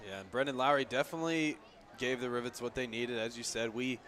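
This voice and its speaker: A man's voice: mid-range voice, fast, fairly bright tone. A man giving analytical color commentary on a baseball radio broadcast in a conversational, explanatory way. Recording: clear outdoor background noise.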